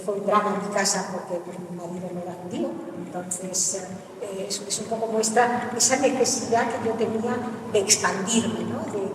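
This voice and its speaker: A woman's voice: mid-range voice, very echoey, big-room sound, far from the mic, noisy background. An older woman from Egypt, speaking on a public panel, recalls her life reflectively, with frequent hesitant restarts.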